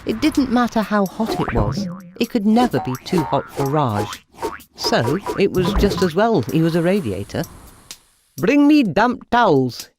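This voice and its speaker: A woman reads a children's bedtime story expressively. Her intonation swings widely as she narrates, with soft music underneath. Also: on the low side, very animated, moderate pace.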